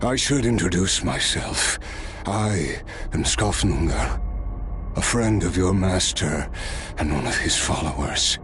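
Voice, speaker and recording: An actor playing a weary, ancient swordsman who speaks in a measured, grave way, at times dropping to a hush, in a game cutscene. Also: deep voice, animated, a little hiss or hum, full character voice. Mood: solemn. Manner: measured, grave storytelling, sometimes hushed. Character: weary ancient male swordsman, solemn and reflective. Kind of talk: video game cutscene voice acting. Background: game music score.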